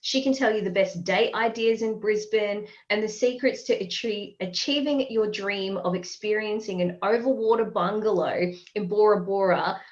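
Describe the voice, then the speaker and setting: A woman's voice: mid-range voice, normal speed, hardly any pauses, dull audio. A woman hosting a podcast interview, chatting in a friendly way with plenty of fillers while getting over a respiratory ailment. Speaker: podcast host. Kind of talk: casual interview chat.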